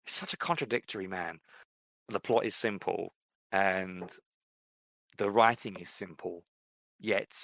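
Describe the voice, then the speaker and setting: Low-voiced guy rambling about books on a podcast, dry close sound. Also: fairly bright tone, no room sound. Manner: thoughtful, rambling with fillers and restarts. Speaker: podcast co-host. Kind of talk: casual chat about books and fiction.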